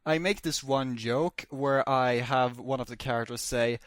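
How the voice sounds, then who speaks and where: A man's voice: mid-range voice, fairly even intonation, unhurried, a bit bright, dry recording, clean studio sound. A man on a podcast panel debating casually and emphatically, repeating phrases to press his questions.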